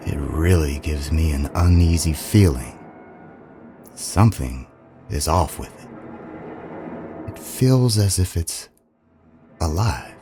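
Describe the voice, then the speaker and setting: A man narrating a scripted first-person story in a dramatic, brooding, deliberate manner. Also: low voice, warm tone, slight background noise.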